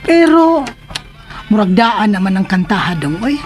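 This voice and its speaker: An actress playing a doting middle-aged mother in a radio drama, chatty and gently chiding. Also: mid-range voice, big pitch swings, measured pace, soft background music. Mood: fond, chiding.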